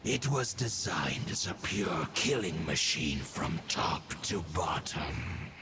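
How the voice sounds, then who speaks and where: Slow, deep, haughty wolf god. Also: male voice, animated, very noisy, full character voice. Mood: grave recounting. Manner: solemn, haughty, archaic phrasing. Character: ancient proud male wolf god, aloof and scornful of humans. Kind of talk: dramatic game voice acting. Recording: loud music under the voice.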